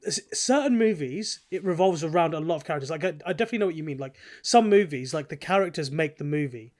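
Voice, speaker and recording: Fast-talking, higher-pitched analytical man. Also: expressive, darkish tone. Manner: analytical, explains and qualifies his points. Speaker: podcast host. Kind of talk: casual gaming podcast discussion.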